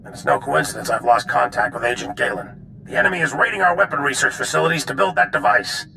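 An actor playing a grave, authoritative military general in a video game cutscene. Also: male voice, mid-range voice, quick pace, gravelly, bright, forceful tone, a little hiss or hum. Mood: urgent, grave.

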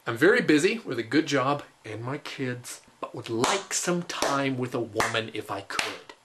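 A man in his twenties giving a comedic dramatic reading with theatrical, deliberate phrasing. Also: medium pitch, fairly bright tone, slight background noise. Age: 20s. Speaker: comic performer. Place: small indoor room.

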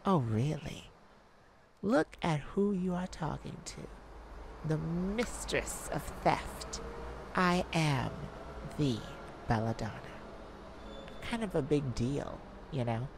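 Woman acting as a sultry cocky lady thief for asmr roleplay, super slow teasing talk, some background noise. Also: deep voice, animated, gravelly, darkish tone, full character voice, poor audio. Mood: teasing, boastful. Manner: teasing, drawn-out phrasing with dramatic pauses. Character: sultry, cocky, flirtatious female master thief.

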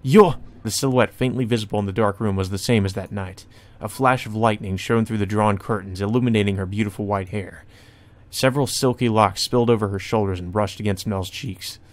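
A man's voice: lowish voice, steady tone, fairly quick. A man hosting a let's play, reading a visual novel aloud and reacting casually.

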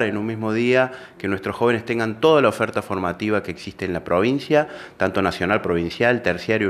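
A middle-aged man speaks in a fairly low, steady voice into a handheld reporter microphone. His delivery is official and measured, dotted with fillers, as in a local news interview indoors. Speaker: employment office coordinator.

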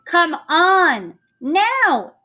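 A woman's voice: high-pitched, lively intonation, unhurried, fairly bright tone, pitched above the performer's own voice. A woman voicing a worried, bossy young girl in a storybook, calling out urgently.

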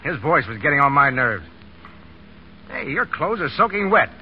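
A man's voice: a little high, sing-song, normal speed, slight background noise. An actor playing a blunt, bossy, cocky man with slangy scolding talk in 1930s radio drama style. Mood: gruff. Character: brusque, cocky, bossy young wisecracking man. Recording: muffled 1930s radio broadcast.

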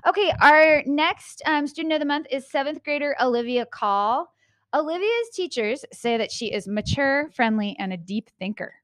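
A woman's voice: sits high, lively intonation, normal speed, fairly bright tone, no room sound. Friendly woman presenting school awards. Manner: friendly, with fillers. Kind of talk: school announcements. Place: small school studio, indoors. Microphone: handheld mic.

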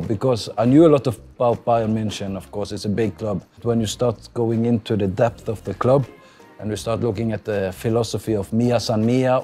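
A man in his forties from Norway with a Norwegian accent, a football coach speaking calmly in an interview, with frequent fillers and restarts. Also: medium pitch. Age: 40s. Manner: calm, thoughtful, many fillers and restarts. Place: outdoor stadium stands.

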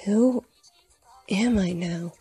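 Woman doing a hushed, breathy, timid young man. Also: medium pitch, drawn-out words, dry recording, faint music behind, exaggerated voice. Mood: lost, uneasy. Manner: hushed, faltering. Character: timid, confused young male experiment subject. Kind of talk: comic dub.

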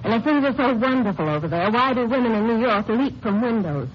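A woman's voice: on the high side, animated, fairly quick, natural voice. An actress playing a tender, teasing young American woman in a 1950s radio drama. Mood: earnest. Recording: dull, faintly noisy old radio recording.

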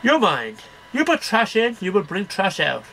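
High, bright-voiced man reciting poetry. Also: huge pitch swings, moderate pace, creaky, slight background noise. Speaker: amateur poetry reader. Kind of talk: reading poems aloud to camera. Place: small cluttered bedroom. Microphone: webcam at about arm's length.

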